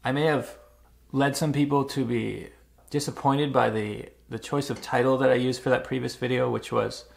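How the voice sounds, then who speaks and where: A Canadian man vlogging, speaking in a measured, thoughtful way with frequent uhs and small restarts. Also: medium pitch, steady tone, gravelly. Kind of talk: reflective personal vlog. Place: Montreal.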